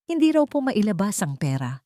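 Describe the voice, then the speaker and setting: A humble old foreman, played by a woman storyteller, pleading respectfully in a soft, slightly shaky voice. The pitch moves up and down a lot as he begs in this dramatic tale. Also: sing-song, brisk, dry recording, studio quality, close to an everyday voice. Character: humble, pleading elderly male construction foreman.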